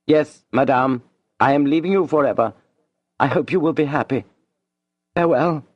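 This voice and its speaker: A cool, calculating young man who speaks in a measured, resolute way, read by a male narrator lifting his voice slightly. The recording is dry and a little dull, with a lo-fi sound. It is a storybook voice that stays composed. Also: a little high, no room sound, voice pushed up, close to an everyday voice. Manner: earnest, measured, resolute delivery. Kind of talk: audiobook reading of a classic novel.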